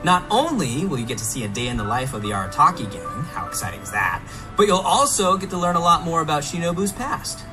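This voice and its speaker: A man's voice: fairly high pitch, sing-song, fast talker, low-quality recording. A man giving a semi-scripted promotional game presentation in a playful, theatrically enthusiastic manner. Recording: music behind, played within a reaction video. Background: clear music.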